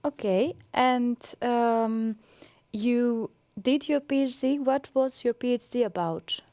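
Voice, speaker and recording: A woman's voice: a little high, slow, dark tone, no echo. A woman hosting an academic interview, asking short friendly questions. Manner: friendly, brief prompting questions. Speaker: interview host.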